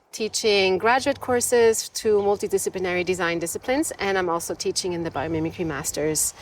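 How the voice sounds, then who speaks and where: A woman from Switzerland, a design professor, telling her story with enthusiasm in a relaxed outdoor interview. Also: on the low side, slight background noise. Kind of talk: conversational interview. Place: outdoors in a desert botanical garden.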